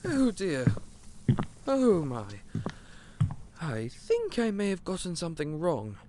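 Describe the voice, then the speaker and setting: An actor playing a gentle, clumsy candy shop owner who stammers and speaks in a polite, old-fashioned way. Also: male voice, high voice, wildly swooping pitch, slow pace, slight background noise, exaggerated voice. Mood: flustered. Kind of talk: audio roleplay acting.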